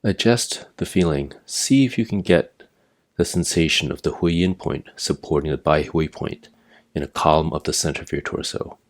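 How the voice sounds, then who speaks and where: A man narrating a guided meditation as an instructor, giving measured, step-by-step directions. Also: rumbling low. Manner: measured, imperative step-by-step guidance.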